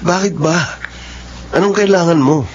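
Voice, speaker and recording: A man's voice: fairly high pitch, normal speed, natural voice. A man acting as a frustrated young boyfriend who is tired of keeping things secret, speaking curtly and with irritation. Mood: irritated. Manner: curt, irritated. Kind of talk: spoken drama acting. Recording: dull lo-fi audio with faint noise.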